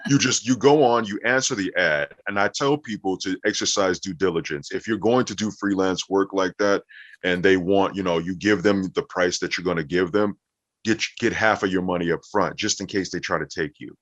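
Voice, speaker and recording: A man's voice: sits low, moderate pace, a bit bright. A man giving conversational, instructive explanations in an interview as a voice actor, stressing his points with repetition. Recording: echoey room, dull muffled audio.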